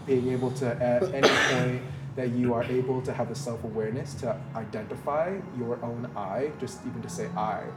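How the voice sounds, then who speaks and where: A man's voice: medium pitch, fairly even intonation, normal speed, few pauses, echoey room, loud music behind the voice, low-quality recording. A man whose first language is Mandarin, talking in a casual, reflective way as a podcast guest, rambling with many fillers and restarts.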